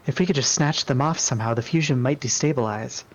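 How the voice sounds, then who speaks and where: A man's voice: medium pitch, fast talker, dull audio, lightly acted. Tactical mage for fan drama, female character read by a man, hesitant, thoughtful, mid-range delivery. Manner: hesitant, analytical.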